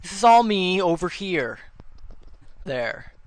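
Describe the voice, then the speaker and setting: A man's voice: high voice, sing-song, slow pace, dry recording, exaggerated voice. A man playing a petulant, scatterbrained internet radio host in a comedy sketch, distracted, childish and defensive. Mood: indignant.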